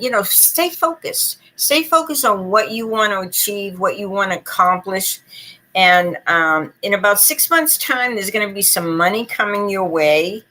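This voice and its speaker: A woman's voice: mid-range voice, pushed and bright, poor audio. A woman with a Boston accent giving chatty, long-winded tarot readings on a live stream. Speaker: psychic reader.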